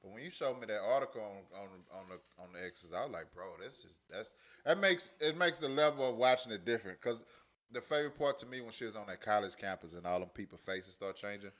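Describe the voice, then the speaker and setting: A young man co-hosting a podcast in casual chat, slangy and animated, laughing often and swinging between low mutters and loud outbursts. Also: quick pace. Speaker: podcast co-host.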